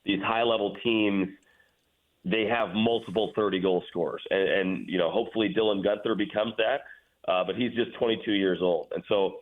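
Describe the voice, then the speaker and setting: A man's voice: on the low side, fairly even intonation, moderate pace. Man on a tinny phone line. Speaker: radio play-by-play announcer, interview guest. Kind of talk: casual sports talk interview.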